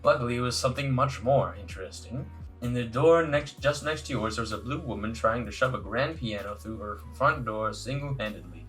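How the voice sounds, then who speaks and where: A man reads game text aloud as a casual let's play host, at a medium pitch. He sometimes trips over words and restarts, with faint music underneath. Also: a little fast, poor audio. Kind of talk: casual narration reading a visual novel aloud.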